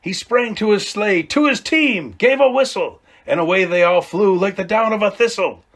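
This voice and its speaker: A man reading a classic Christmas poem aloud, with dramatic swings between hushed low lines and loud calls. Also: normal speed. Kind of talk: expressive storybook read-aloud.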